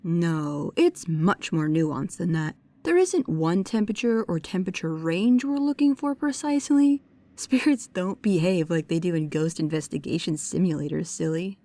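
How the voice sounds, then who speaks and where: A woman's voice: on the low side, lively intonation, normal speed, mellow, no room sound. A woman playing a bookish, precise and nervous young paranormal investigator, speaking to the listener in an audio roleplay. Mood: pedantic, curious. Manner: pedantic, hesitant, earnest.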